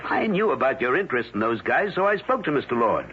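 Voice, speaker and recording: An actor playing an older, world-weary newspaper editor in an old-time radio drama, dismissive and full of cautious warnings. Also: male voice, mid-range voice, big pitch swings, fast, natural voice. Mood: cautioning. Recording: tinny, faintly noisy old radio.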